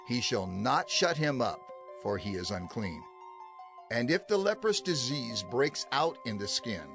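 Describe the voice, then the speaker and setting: A man reading scripture as a scripted audio Bible narrator, solemn and measured, with emphatic phrasing. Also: mid-range voice. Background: background music.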